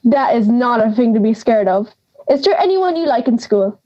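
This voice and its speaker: A woman's voice: a little high, lively intonation, moderate pace, mellow, muffled recording, close to an everyday voice. A young woman playing a feisty, blunt granny of ninety in an audio drama, scolding and sarcastic. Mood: urging. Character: feisty, blunt ninety-year-old granny living in the woods.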